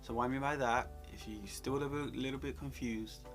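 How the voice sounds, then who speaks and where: A man's voice: mid-range voice, steady tone, fairly quick, music in the background. A man co-hosting a career advice talk, coaching conversationally and emphatically, repeating points to drive them home. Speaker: co-host giving job interview advice.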